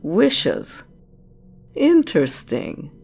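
Woman as a low-voiced, sly corporate director. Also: huge pitch swings, very slow, vocal fry, darkish tone, heavily acted. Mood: probing, teasing. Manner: teasing, probing, self-assured. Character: commanding female corporate director, sly, curious, teasing. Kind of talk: scripted audio drama acting.

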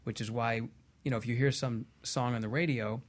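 A man's voice: medium pitch, steady tone, brisk. A man speaking as a guest hypnotherapist on a talk show, explaining and telling anecdotes conversationally.

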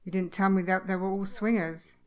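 Actress as plain-spoken wife, mid-pitched, chatty, blunt, down-to-earth, comedy audio drama. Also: female voice, steady tone, fairly quick, close to an everyday voice. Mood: exasperated.

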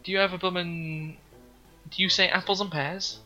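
A man's voice: sits high, little pitch movement, normal speed, sharp tone, soft background music. A young man from northeast England hosting a casual podcast chat, rambling with lots of ums and restarts. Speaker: podcast host.

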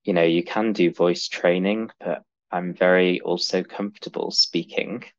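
A lawyer with a deep voice, speaking earnestly and confidently as an interview guest. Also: steady tone, moderate pace. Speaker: podcast guest, real estate lawyer. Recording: dull sound, echoey room.

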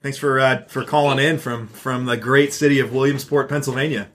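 A man's voice: medium pitch, steady tone, a little fast, fairly bright tone. A man co-hosting a church podcast, talking in a rambling, encouraging way with frequent fillers. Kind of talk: casual chat.

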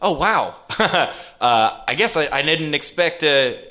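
A man playing a dazed, lovestruck delivery driver in improvised radio comedy, halting and rambling as he goes. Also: on the high side, animated, sharp tone, full character voice. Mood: flustered, evasive.

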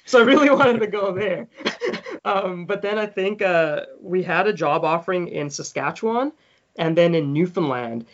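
Animated storytelling man, slightly muffled. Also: high-pitched, normal speed. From South Africa. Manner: animated, laughing often, acts out quoted people. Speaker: podcast guest. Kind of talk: casual storytelling.

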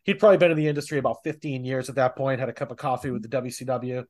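A man's voice: medium pitch, rapid-fire, darkish tone. A man hosting a podcast in casual, profane banter with friends, teasing and often laughing. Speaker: podcast host.

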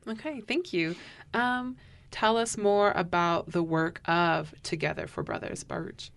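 A woman's voice: fairly low pitch, a little slow, creaky, fairly bright tone. A woman interviewing guests on a community radio show with polite, brief prompts.

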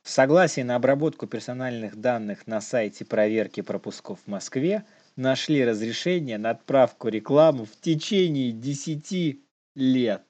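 Joking man co-hosting, mid-pitched voice. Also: expressive, moderate pace, a little mellow. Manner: joking, laughs often. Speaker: co-host of a news commentary show. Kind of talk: reading news and joking casually.

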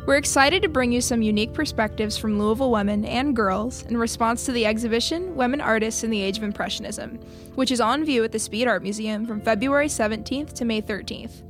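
A young woman, a student presenter, reading scripted audio guide narration evenly. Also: on the high side. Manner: even, read-aloud delivery.

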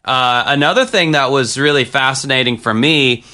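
An American man talks through a movie in loose, casual podcast chat, often restarting his sentences and trailing into fillers. His voice is bright and forward, with a clean, clear tone. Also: mid-range voice, moderate pace. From United States. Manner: rambling, many restarts and fillers. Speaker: film podcast co-host. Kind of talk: casual film review chat.

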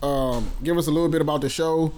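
Slangy man, relaxed, chiming in. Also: a little high, crowd noise. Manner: relaxed, slangy, quick agreeing interjections. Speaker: podcast host. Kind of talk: casual group chat.

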